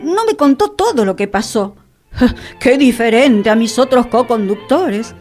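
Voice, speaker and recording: An actress playing a whimsical, touchy radio hostess in a fantasy radio play, with theatrical, florid phrasing. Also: female voice, fairly high pitch, lively intonation, measured pace, darkish tone, soft background music. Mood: wistful, insistent. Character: whimsical, touchy radio hostess living among enchantments and dragons. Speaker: radio show host. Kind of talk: scripted radio theatre dialogue.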